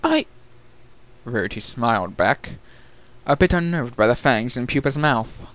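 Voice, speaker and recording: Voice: mid-range voice, expressive, moderate pace, fairly bright tone. A narrator giving a dramatic reading of a story, telling it in scripted prose.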